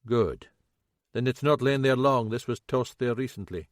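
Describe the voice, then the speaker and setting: A man voicing a shrewd middle-aged Scottish detective inspector with a dry, brisk, commanding manner and a Scottish accent. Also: medium pitch, dry recording, close to an everyday voice. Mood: calm.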